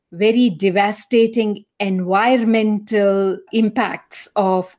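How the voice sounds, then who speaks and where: A woman's voice: medium pitch, a little mellow. A woman in her fifties from India with an Indian accent, speaking thoughtfully as an academic guest in an interview. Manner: thoughtful, reflective storytelling. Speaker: guest, associate professor. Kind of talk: conversational interview.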